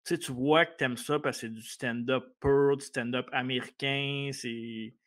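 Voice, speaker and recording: A man's voice: medium pitch, steady tone, normal speed, fairly bright tone. A man with a Québécois French accent hosting a casual podcast interview, easygoing and encouraging, with stammering restarts. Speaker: podcast host.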